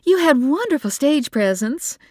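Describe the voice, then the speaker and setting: Gushing mom in middle age, high and quick, a woman voicing her. Swooping, dry read. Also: sing-song, no room sound. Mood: delighted. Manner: gushing, rapid, high-strung. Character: eager, fluttery middle-aged mother.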